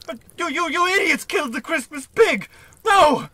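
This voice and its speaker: A man plays a rich, self-important celebrity in a radio comedy, full of theatrical bluster. His voice is high and bright and swings wildly in pitch. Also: lively intonation, normal speed, no room sound, heavily acted. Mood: horrified. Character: pompous, excitable rich male celebrity host. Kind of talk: old-time radio comedy acting.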